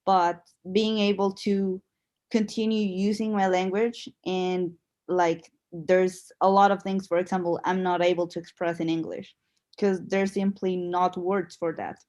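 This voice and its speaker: A young woman whose first language is Spanish, speaking English with a Spanish accent in a halting way as a panelist over a video call. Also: fairly low pitch, little pitch movement, darkish tone. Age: young adult. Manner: halting, searching for words. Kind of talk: panel discussion.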